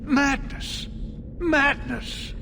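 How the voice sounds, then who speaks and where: A man's voice: very high voice, fairly even intonation, drawn-out words, vocal fry, heavily acted. A man playing an anguished, beleaguered older viscount in a video game cutscene, theatrical, formal and exasperated. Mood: shocked disbelief. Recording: long hall reverb, noisy background.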